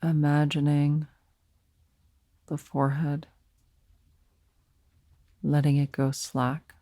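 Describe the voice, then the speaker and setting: Slow, creaky-voiced woman guiding meditation. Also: sits low, little pitch movement, no room sound, studio quality. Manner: soft, soothing, unhurried, pausing between phrases. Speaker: meditation teacher. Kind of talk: guided meditation.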